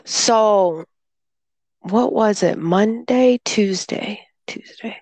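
A woman chatting casually, slowly telling a rambling story about being ill, still sounding congested. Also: mid-range voice, a little mellow, no room sound. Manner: rambling storyteller, slow and drawn-out. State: congested, recovering from illness. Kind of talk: casual chat.

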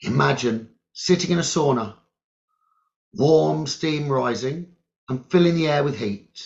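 A man leading a guided meditation as a teacher, giving calm, deliberate instructions in short phrases with long pauses. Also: fairly high pitch, creaky. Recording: dull, lo-fi sound.

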